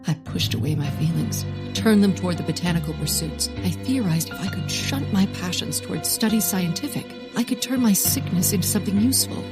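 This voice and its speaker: An actress playing an intense woman botanist confessing a forbidden love of plants in a comedic audio drama, theatrical, with dramatic pauses and hushed emphasis. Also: on the low side, natural voice. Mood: wry, confessional. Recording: prominent music under the voice.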